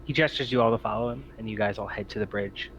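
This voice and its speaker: Low-pitched man running a tabletop game. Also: fairly bright tone, dull audio, slight background noise. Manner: halting, pausing often mid-sentence. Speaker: game master. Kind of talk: narrating a tabletop roleplaying game.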